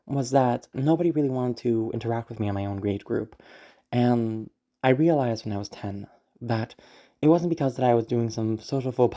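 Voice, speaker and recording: A woman's voice: extremely low pitch, dark tone. A woman talking casually to her listeners as an audio creator, explaining things in a rambling, conversational way. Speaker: audio roleplay creator and performer.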